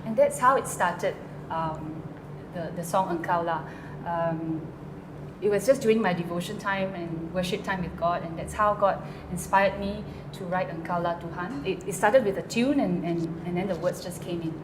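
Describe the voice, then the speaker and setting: A woman's voice: on the low side, moderate pace, noisy background. Hesitant woman in echoey room. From Malaysia. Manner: hesitant, many fillers and restarts. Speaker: guest songwriter. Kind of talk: interview answers.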